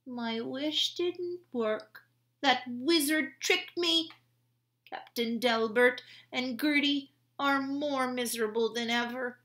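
A woman doing a squeaky, excitable young bullfrog. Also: slow. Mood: sad. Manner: high excited cries. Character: young male bullfrog, eager and easily worried. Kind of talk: storybook read-aloud.

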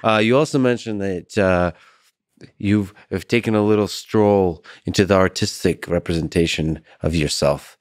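Podcast host, man in his thirties, deep and unhurried, dry close-miked. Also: no room sound. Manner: slow, calm, low-key. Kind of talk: podcast conversation. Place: room with curtains and lamp. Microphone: studio mic on boom arm.

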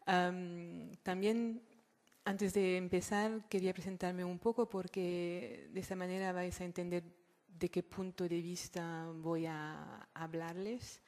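Halting woman lecturer, non-native Spanish accent. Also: on the low side, level tone, a little mellow. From born in Morocco, French mother, Catalan family. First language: not Spanish; French-schooled. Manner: halting, searching for words. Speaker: guest speaker, sociologist and activist. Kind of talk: public lecture at a forum.

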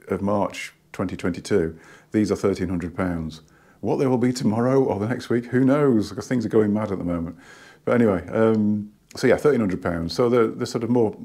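A hoarse man in his fifties with a northern English accent, talking casually into a camera held close in a living room. His voice sits fairly low, and he rambles and restarts often, dropping in plenty of ums. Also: dark tone. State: hoarse from a cold. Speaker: hi-fi shop owner reviewing speakers. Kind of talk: casual product review. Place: living room at home, indoors.

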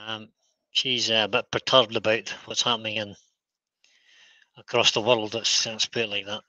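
Scottish man, woodturning host, very bright voice, dry close sound, easygoing chat. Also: fairly low pitch, measured pace, pauses often, dry recording, poor audio. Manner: relaxed, chatty, explains as he works. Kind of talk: casual chat while demonstrating. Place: indoor workshop at a lathe.

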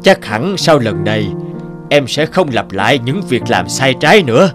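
An actor playing a kind, patient, firm elderly male teacher in an animated drama. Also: medium pitch, normal speed, over music, lightly acted. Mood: earnest, encouraging.

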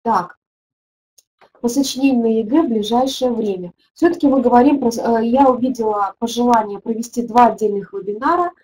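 A woman's voice: a little high, a little fast, a little mellow, a little hiss or hum, poor audio. A middle-aged woman, a teaching methodologist, leading a webinar and answering viewer questions, thinking aloud with frequent hesitations.

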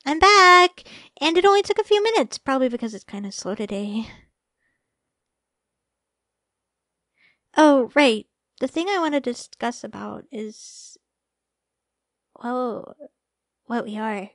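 A high, close and dry young female voice acted by a woman plays a sweet girlfriend in a romantic ASMR roleplay. She is shy and affectionate, speaking softly and hesitantly, easily flustered. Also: lively intonation, unhurried, dry recording, full character voice. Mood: hesitant, earnest. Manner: soft, hesitant, affectionate, stammering when flustered.

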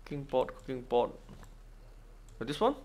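Animated, creaky-voiced man commentating a game. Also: mid-range voice, a little hiss or hum. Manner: halting non-native English, thinking aloud. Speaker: gaming video host. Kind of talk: casual game walkthrough commentary.

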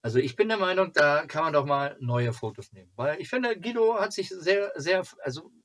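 A man in his forties from Germany chatting casually to camera as a fan channel host, in a halting, rambling way. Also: a little high, expressive, fairly bright tone. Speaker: fan club video host. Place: small cluttered home room.

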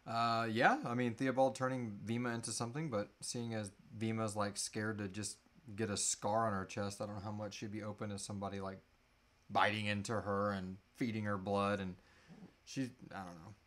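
A man in his thirties with a fairly low voice hosts an informal Q&A. He talks casually and with a joking edge, as if among friends. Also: little pitch movement, moderate pace, a bit bright. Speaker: host of a live Q&A, audio drama creator. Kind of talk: casual chat, answering audience questions. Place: small room at home, indoors, seated.

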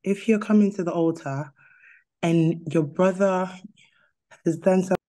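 A woman's voice: fairly low pitch, moderate pace, mellow. Casual woman sharing a faith reflection. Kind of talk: personal Bible reflection, casual.

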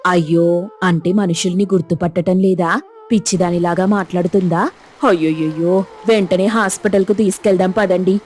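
A woman's voice: fairly low pitch, steady tone, normal speed, darkish tone, close to an everyday voice. A woman voicing a concerned, fussing neighbour who sells snacks, in a dramatized cartoon story. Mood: alarmed concern.

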